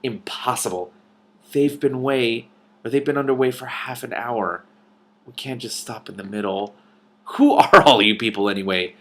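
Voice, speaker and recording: A man's voice: medium pitch, normal speed, fairly bright tone, natural voice. A man voicing an officious, easily annoyed dragon with a blunt, dismissive manner in a storybook reading. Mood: skeptical. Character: gruff, officious olive-green male dragon, easily annoyed.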